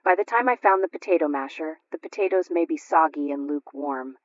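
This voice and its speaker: A woman's voice: sits low, fairly quick, no room sound, lightly acted. A woman voicing a chatty, scatterbrained housewife who confides in her friends in a radio sketch. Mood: eager, chatty. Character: chatty, impractical, scatterbrained housewife at sewing club. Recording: dull lo-fi radio recording.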